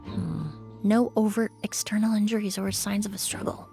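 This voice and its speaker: A woman playing a calm, analytical young investigator. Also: mid-range voice, gravelly, music in the background, close to an everyday voice. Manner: measured and analytical. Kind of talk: video game voice acting.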